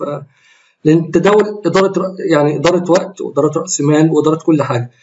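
A man with an Egyptian Arabic accent, voice a little tired and hoarse, talking through his trades in a screen-recorded walkthrough, often breaking off and correcting himself. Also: a little high, darkish tone, dull audio. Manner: rambling, self-correcting, explains while trading live. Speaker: trading tutorial host.

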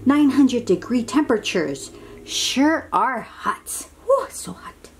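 A woman reads a picture book to little children in an unhurried, slightly breathy voice. Her pitch swings widely as she exclaims, stretches words and drops into hushed asides, often putting simple questions to her listeners. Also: expressive. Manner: talks directly to young listeners with simple questions. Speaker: children's read-aloud host. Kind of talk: animated picture-book reading to young children.

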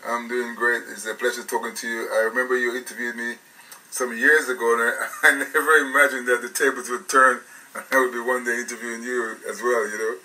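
A man's voice: medium pitch, flat delivery, fairly quick, bright, forceful tone, recorded from a distance, poor audio. A man from England, hosting a radio interview in a rambling, casual way with many restarts. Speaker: radio show host.

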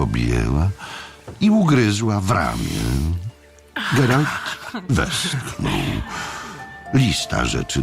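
A male audiobook narrator with a low, gravelly voice tells a story in a slow, measured way. His intonation is extremely varied, with dramatic pauses between phrases. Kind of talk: scripted dramatic literary narration.

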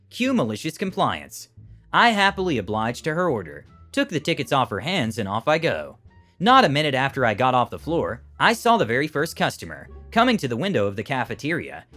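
A man's voice: medium pitch, a bit bright. A man reading a written first-person story aloud as a scripted narration. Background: background music.

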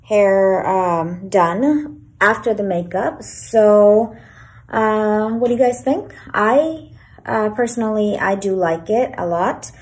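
A woman in her thirties chatting casually through a home hair tutorial, with many fillers and a gentle, way of talking, filmed on a propped phone in a small bedroom. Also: medium pitch, slow. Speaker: beauty vlogger. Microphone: phone camera propped low. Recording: echoey small room.

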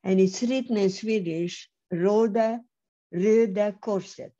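An older woman giving a measured, emphatic personal testimony over a video call, speaking slowly with pauses. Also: medium pitch, warm tone. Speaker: Holocaust survivor speaker. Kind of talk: slow personal testimony talk. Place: home room on video call. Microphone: webcam mic on video call. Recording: slightly dull lo-fi video call audio.

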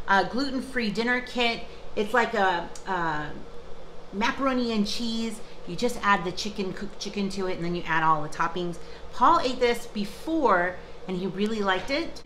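Upbeat woman vlogger in her fifties, bright tone, casual kitchen chat to a close camera. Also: medium pitch, slight background noise. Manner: chatty and upbeat, with frequent fillers and restarts. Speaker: vlogger showing a grocery haul. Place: home kitchen, indoors. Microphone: camera close up, in front of her. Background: ice machine running.

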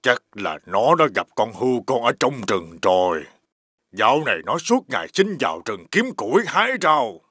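A man voicing a greedy, bad-tempered older master in an animated folk tale, scolding and bossing in an exaggerated way. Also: medium pitch, normal speed, slightly rough, dry recording, studio quality, heavily acted. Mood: scheming.

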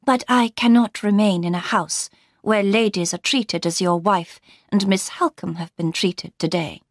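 A woman's voice: mid-range voice, measured pace, dry recording, clean studio sound, natural voice. A woman voicing a composed, haughty countess in an audiobook, with a formal, dignified manner. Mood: cool disapproval.

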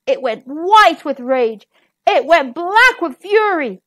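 A woman narrating a children's story as an expressive, dramatic read-aloud. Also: sits high, slow pace, no echo, studio quality. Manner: dramatic, emphatic. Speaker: storybook reader.

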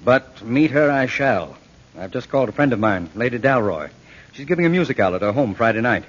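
A man acting a genial, gentlemanly detective in a 1940s radio drama, polite and dryly courteous. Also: mid-range voice, expressive, a little fast, slightly rough. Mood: genial, determined. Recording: dull, muffled vintage radio recording.

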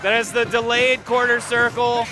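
A man giving live commentary at a video game tournament, excitable and explanatory in manner. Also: very high voice, moderate pace, a loud crowd. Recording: music behind, live event stream. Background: game music.

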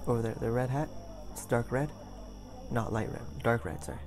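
A man plays a caring, goofy young boyfriend in an intimate ASMR roleplay. His voice has a gravelly fry at an ordinary male pitch, and he rambles haltingly, with music playing behind him. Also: lightly acted. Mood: amused, chatty. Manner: rambling, halting, chuckling storyteller.

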